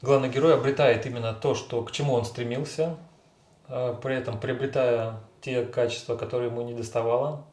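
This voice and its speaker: A man speaks in a mid-range voice, pausing often and searching for words. The room around him echoes noticeably. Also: mid-range voice, fairly even intonation, a little mellow. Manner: hesitant, many fillers. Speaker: co-host discussing a fairy tale. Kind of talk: casual analytical discussion.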